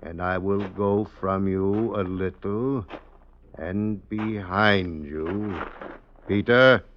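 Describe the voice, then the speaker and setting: Slow, sly schemer. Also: male voice, low voice, slight background noise, heavily acted. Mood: coaxing, sly. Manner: coaxing, halting, old-fashioned folksy speech. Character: scheming murderous man feigning kindness to a trusting lad. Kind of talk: 1950s radio drama acting. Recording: muffled old radio recording.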